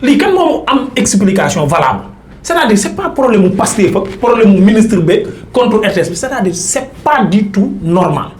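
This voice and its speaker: A man's voice: sits high, very animated, normal speed, echoey room, a little hiss or hum. A Senegalese man speaking as a political commentator in an emphatic, insistent debating style. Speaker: political commentator on a talk show. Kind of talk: argumentative political debate, mixing Wolof and French.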